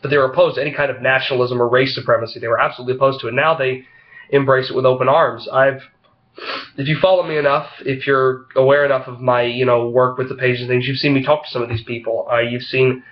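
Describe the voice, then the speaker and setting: A man's voice: mid-range voice, level tone, dull audio. Froggy-voiced man with a head cold. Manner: halting, rambling, heavy on fillers. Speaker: vlogger. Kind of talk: casual opinion vlog talking to camera.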